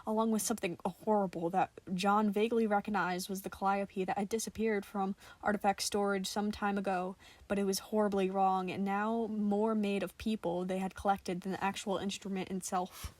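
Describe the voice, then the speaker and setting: A woman's voice: medium pitch, flat delivery, moderate pace, hardly any pauses, darkish tone, no echo. A woman narrating a scripted story as an amateur podfic reader.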